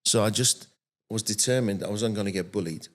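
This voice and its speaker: A soft-spoken man in his fifties from Iran who moved to England as a teenager, telling personal stories as an interview guest. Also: on the low side, fairly quick. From born and raised in Iran, moved to England at 13. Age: 50s. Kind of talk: animated personal storytelling in an interview.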